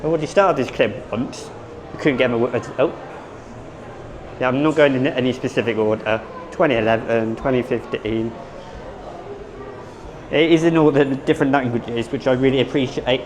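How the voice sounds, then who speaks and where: A man vlogging at a theme park, rambling and unsure of himself, hoarse as he is losing his voice. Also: mid-range voice, moderate pace, long pauses, noisy background. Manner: rambling, self-correcting, unsure. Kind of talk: casual vlog commentary.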